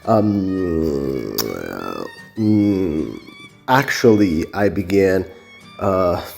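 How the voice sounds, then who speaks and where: A man's voice: low voice, gravelly, a little mellow. A man from Italy with an Italian accent, hosting a solo podcast, speaking off the cuff with many fillers and restarts, recorded on a cell phone. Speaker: podcast host. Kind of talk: unscripted solo podcast talk.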